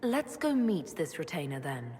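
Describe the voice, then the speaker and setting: A composed elf mage heroine from a video game, with a lowish, measured voice. She is restrained and sympathetic, heard in a reverberant hall with faint noise. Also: big pitch swings, warm tone. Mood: calm curiosity. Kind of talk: video game dialogue acting.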